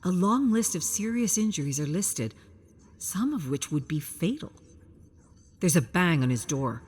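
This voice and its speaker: A woman's voice: on the low side, sing-song, a little slow, darkish tone, breathy edge. A woman reading a scripted story as a dramatic, suspenseful narrator. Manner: present-tense suspenseful storytelling.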